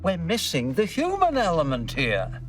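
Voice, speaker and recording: An actor playing a principled, concerned police commissioner in a video game, formal and earnest in manner. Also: male voice, fairly high pitch, wildly swooping pitch, moderate pace, far from the mic, slight background noise. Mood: earnest, challenging. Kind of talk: video game cutscene acting.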